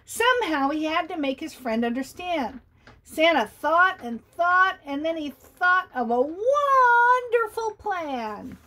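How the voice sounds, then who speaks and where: Woman storyteller, high voice, animated, chatty, asks kids questions. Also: takes it slowly. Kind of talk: children's read-aloud.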